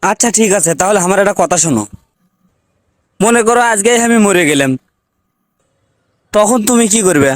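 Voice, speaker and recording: A man's voice: sits high, expressive, moderate pace, long pauses, no echo, clean studio sound. A man voicing an exasperated, pleading village husband in a comic cartoon, with a North Bengal accent, complaining in questions. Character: exasperated, pleading village husband who turns on his wife. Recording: clearly noisy recording with clicks.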